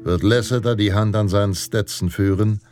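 An actor narrating a Western hero fantasy in a radio play, in a grave, heroic, deliberate manner. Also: male voice, on the low side, fairly even intonation, close to an everyday voice. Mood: solemn, admiring. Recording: loud guitar music underneath. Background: Western guitar music.

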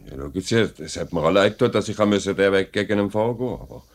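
A man's voice: deep voice, lightly acted. A man playing a formal, authoritative factory director with a Swiss German accent in a 1950s radio play. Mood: businesslike. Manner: measured, formal, noncommittal. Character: measured, authoritative male factory director, businesslike.

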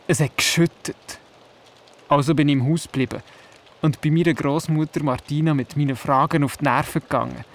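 A man's voice: fairly high pitch, lightly acted. An actor with a Swiss German accent playing a curious, imaginative grandson who narrates his adventures in a radio play. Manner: inquisitive, childlike storytelling. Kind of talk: scripted first-person radio play narration.

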